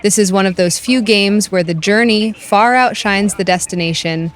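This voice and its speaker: A woman narrating a scripted video game review in an even, measured reading voice. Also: medium pitch. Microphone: voice-over.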